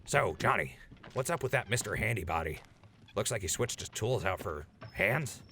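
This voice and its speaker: A man's voice: lowish voice, animated, normal speed, pushed and bright, slight background noise. A man playing a sarcastic, complaining, footsore wasteland traveler in a post-apocalyptic audio drama. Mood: wry, casual. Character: grumbling, wisecracking footsore male wasteland traveler.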